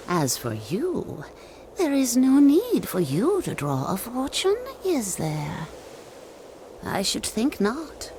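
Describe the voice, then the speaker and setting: A cryptic old fortune-teller woman, talks slowly with a gravelly, hushed voice. Her pitch rises and dips a lot as she delivers solemn words. Faint noise sits under the game audio. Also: exaggerated voice. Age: older. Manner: slow, measured, mysterious. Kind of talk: video game cutscene dubbing.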